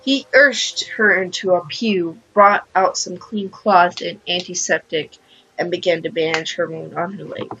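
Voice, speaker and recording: A woman hosting a gaming video, reading a story aloud and adding casual, excitable asides. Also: on the low side, measured pace, highs cut off, slight background noise. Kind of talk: let's play commentary, reading story aloud.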